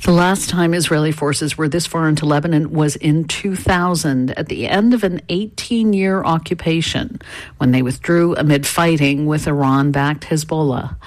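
Woman reporter filing a news story, factual and steady, dry close mic. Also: low-pitched, normal speed, no room sound. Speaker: foreign correspondent.

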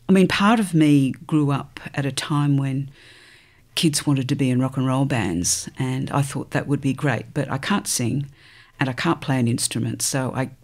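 An older woman with an Australian accent, a poet answering interview questions in a thoughtful, measured way, pausing between phrases. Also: rumbling low. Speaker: poet guest.

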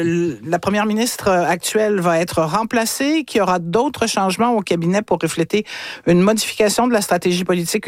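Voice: medium pitch, no echo, studio quality. A news host, presenting and interviewing.

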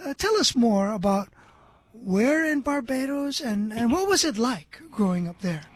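A man's voice: extremely high pitch, expressive, a little slow, husky, no echo. A man hosting an interview, asking his guest questions in a conversational way. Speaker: interviewer, broadcast host.

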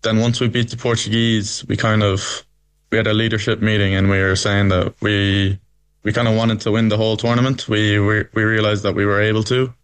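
A young man from Belgium with an Irish accent, answering interview questions in a relaxed, easygoing way. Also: lowish voice, brisk, clear, resonant tone. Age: young adult. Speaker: interview guest, rugby captain.

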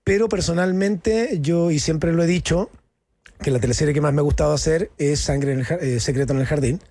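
A man's voice: a little high, brisk, warm tone, no room sound. A middle-aged Chilean man, an actor speaking candidly in an interview, loose and slangy, often restarting his sentences.

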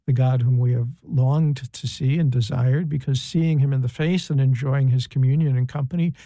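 An older male priest speaks in a halting, gentle teaching manner, filling pauses as he explains. His voice is gravelly and warm, at a middle pitch. The sound is dry and close. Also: fairly even intonation, dry recording, rough recording. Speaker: guest priest. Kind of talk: explaining church teaching in conversation.

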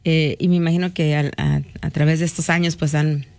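A woman's voice: low voice, level tone, a little fast, vocal fry, a little mellow, no echo. A woman from Mexico hosting a morning radio talk show, chatty with frequent fillers and backchannel interjections.

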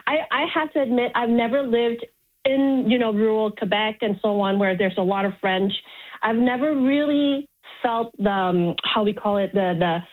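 A woman's voice: a little high, normal speed. Emphatic woman on a muffled phone line. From Montreal, Canada. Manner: emphatic, frank, repeats words for stress. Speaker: radio phone-in caller. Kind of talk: spontaneous opinionated phone-in talk. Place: on a phone call to a radio show.

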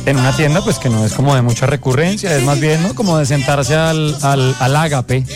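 A man's voice: on the high side, brisk. A man in his thirties with a Colombian accent, playfully telling slangy stories as a radio co-host. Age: 30s. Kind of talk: casual radio banter. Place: broadcast studio. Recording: music playing behind. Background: music.

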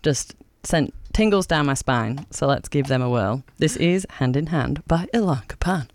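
A man's voice: on the high side, expressive, moderate pace, slight background noise. A man hosting a community radio show, interviewing a band in an upbeat, jokey, enthusiastic way. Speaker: radio host.

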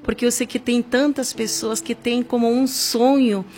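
A woman with a Brazilian accent leading a fervent, improvised evangelical prayer, with repeated invocations and drawn-out emphatic words. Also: a little high, fairly even intonation, a little mellow. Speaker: church prayer leader. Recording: music playing behind the voice. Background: background music.

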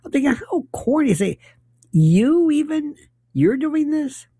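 An older man hosting a late-night call-in radio show, musing aloud in a rambling, opinionated monologue with animated asides. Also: very high-pitched, slow pace, dry recording, clean studio sound. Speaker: radio talk show host. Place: radio studio.

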